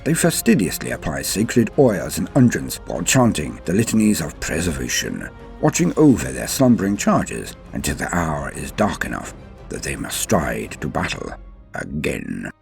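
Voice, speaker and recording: A man's voice: rumbling low, huge pitch swings, moderate pace, gravelly, a little mellow, faint music behind. A man hosting a lore show, delivering scripted narration in a theatrical, formal and florid manner. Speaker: host of a Warhammer lore show.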